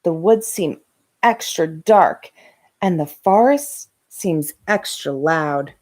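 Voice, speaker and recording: A woman narrating a children's bedtime storybook with gentle, dramatic emphasis for young listeners. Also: lowish voice, animated, slow pace. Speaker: host of a bedtime story read-aloud channel.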